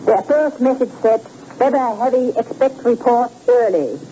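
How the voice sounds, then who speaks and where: An anxious, baffled crook's accomplice in a vintage radio drama. His tone is mellow and dark, and the old broadcast sounds muffled with a faint hiss. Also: very high-pitched, moderate pace. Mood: uneasy. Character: nervous puzzled male crook accomplice. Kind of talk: 1940s radio acting.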